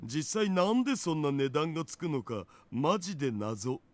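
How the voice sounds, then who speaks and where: A man plays a young otaku hacker from an anime visual novel. He is nerdy, laid-back and slangy, with a fairly high voice and brisk delivery. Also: expressive, darkish tone, natural voice. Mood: puzzled. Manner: slangy, geeky. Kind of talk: Japanese visual novel voice acting.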